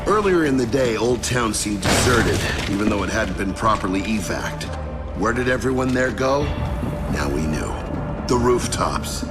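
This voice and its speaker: A man's voice: lively intonation, moderate pace, lightly acted. A man acting a wry, battle-worn soldier in a video game cutscene, recounting a war mission in a dry, sardonic way. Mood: wry, reflective. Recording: loud music behind, vehicle rumble.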